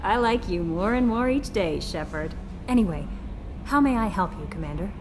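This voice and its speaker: An actress playing a flirty, friendly ship's assistant in a video game, chatty and coy and eager to help. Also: female voice, mid-range voice, expressive, normal speed, background noise, lightly acted. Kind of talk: dramatic video game dialogue. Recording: long reverb with music behind.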